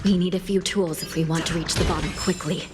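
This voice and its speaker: An actress playing a stern female prison judge in a video game, formal, measured and authoritative. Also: fairly low pitch, steady tone, music in the background, close to an everyday voice. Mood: composed, serious. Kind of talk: dramatic video game acting.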